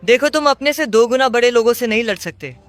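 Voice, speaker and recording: Bright, very high-pitched young male superhero. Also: fast talker, no room sound, faint music behind. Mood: encouraging. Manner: encouraging, coaching, reassuring. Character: Spider-Man, young male superhero, upbeat and protective. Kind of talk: video game voice acting, Hindi dub.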